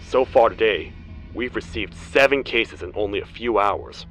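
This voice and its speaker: Casting: weary game toad lawman, low-voiced, grave and formal, music underneath. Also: lively intonation, normal speed, a bit bright, rough recording. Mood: solemn, matter-of-fact. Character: stern, weary male cartoon toad sheriff. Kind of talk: video game character acting, log narration.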